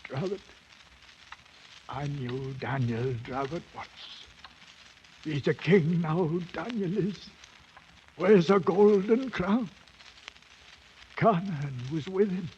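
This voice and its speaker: A loyal British soldier of fortune, high-voiced and very slow, rambling in a halting, folksy way. It sounds like a dull, muffled 1950s radio play. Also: male voice, a little mellow. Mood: dazed, wistful. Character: dazed, loyal British ex-soldier recalling his lost comrade. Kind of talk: 1950s radio drama acting. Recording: dull, faintly noisy 1950s radio recording.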